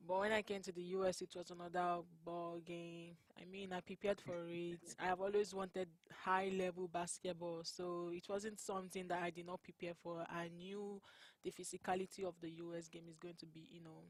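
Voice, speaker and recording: A woman's voice: on the low side, little pitch movement, dry recording, rough recording. Young Nigerian woman, dry close sound. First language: Igbo. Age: young adult. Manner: earnest, unhurried, laughs often. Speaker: college basketball player. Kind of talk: interview answers.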